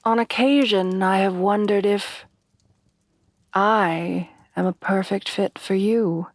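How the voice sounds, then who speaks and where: A woman playing a refined, tender headless horsewoman bride in a soft-spoken ASMR roleplay, with formal, genteel phrasing. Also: mid-range voice, slow, no echo. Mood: anxious, confiding. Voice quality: soft, breathy at times.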